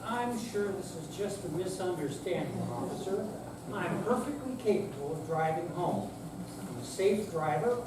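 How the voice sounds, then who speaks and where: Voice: mid-range voice, expressive, normal speed, close to an everyday voice. An older reader plays an affable old married man, evasive and stubborn, with a mild, hesitant manner. The staged reading is recorded from a distance in a very echoey, noisy room. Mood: defensive. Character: elderly husband, affable, stubborn, evasive driver. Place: senior center hall, live performance. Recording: echoey room, distant mic, loud noise.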